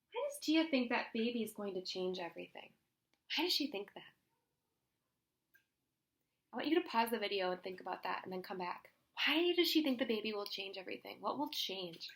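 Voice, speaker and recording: A woman teacher reading a children's story aloud in an expressive, instructive way, pausing to put questions to listeners. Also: a little high.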